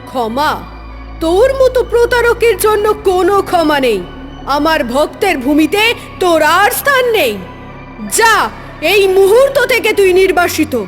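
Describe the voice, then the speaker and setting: A woman's voice: extremely high pitch, sing-song, measured pace, soft background music, full character voice. A woman voicing a mighty, wrathful and protective mother goddess in a devotional cartoon, solemn and declamatory. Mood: wrathful, cursing. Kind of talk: devotional horror cartoon voice acting.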